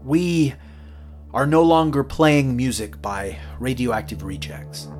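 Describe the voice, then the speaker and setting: An actor playing a rookie late-night radio DJ in a horror audio drama, jittery, hesitant and prone to stammering. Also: male voice, mid-range voice, expressive, slow, darkish tone, soft background music. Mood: flustered, apologetic.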